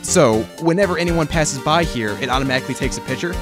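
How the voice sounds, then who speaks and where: A man's voice: fairly high pitch, fast talker, lightly acted. A man playing an earnest, persistent young defense lawyer in an amateur fan dub of a game, questioning pointedly. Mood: insistent. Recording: music playing behind the voice.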